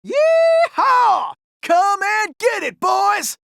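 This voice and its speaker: Really high pitched cocky cowboy outlaw, thick old west southern drawl, swings all over, video game style, dry sound. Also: male voice, wildly swooping pitch, extremely slow, gravelly, fairly bright tone, no room sound, exaggerated voice. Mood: cocky, taunting. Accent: American Old West Southern drawl. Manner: folksy, exclamatory, boastful cowboy bravado. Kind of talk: animated video game character acting.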